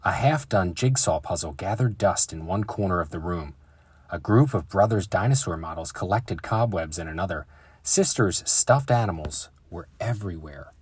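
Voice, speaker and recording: A man reading a children's story aloud in an animated storytelling style. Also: sits low, moderate pace, breathy edge, no echo. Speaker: children's book reader and channel host.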